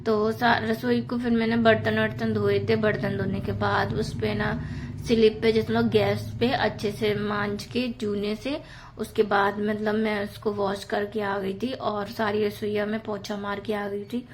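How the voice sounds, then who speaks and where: A woman in her thirties with a hoarse, sore throat, chatting casually to viewers about her housework in a voice-over. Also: mid-range voice, level tone, a little slow, a little hiss or hum, poor audio. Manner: chatty, many fillers, addresses viewers as friends. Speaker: home vlogger.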